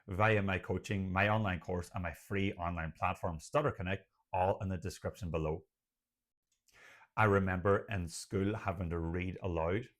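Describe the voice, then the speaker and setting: An Irish man, a doctor who stutters, speaking earnestly to camera about his experience in a personal vlog. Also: deep voice. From Ireland. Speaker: doctor and stuttering coach, channel host.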